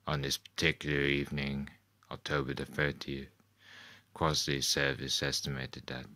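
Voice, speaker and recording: A man playing a grave, ominous radio play narrator with a slow, solemn, measured delivery. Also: rumbling low, vocal fry, bright, forceful tone, no room sound, voice pushed down, heavily acted. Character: grave, ominous male narrator of an alien invasion tale.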